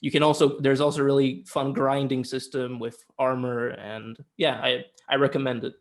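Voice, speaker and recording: Young man with a medium-pitched, hesitant delivery. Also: level tone, moderate pace. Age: young adult. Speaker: podcast co-host. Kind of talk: casual chat.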